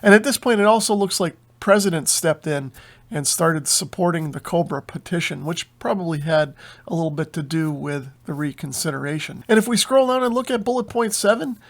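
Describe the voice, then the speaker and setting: Male host, slightly high voice, informal explainer walking through paperwork point by point. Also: brisk. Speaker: host of a radio hobby channel. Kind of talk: explanatory commentary while reading through a document.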